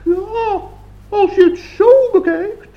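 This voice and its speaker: Squeaky little old gnome. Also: male voice, fairly even intonation, slow pace, dull audio, full character voice. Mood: earnest, indignant. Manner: earnest, hesitant. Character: very old little male forest gnome, indignant, naive.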